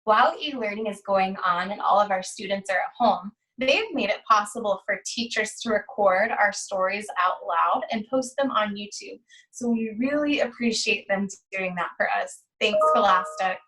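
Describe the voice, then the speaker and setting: A woman teacher reading a children's storybook aloud, with deliberate, expressive phrasing and pauses. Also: medium pitch, far from the mic, poor audio.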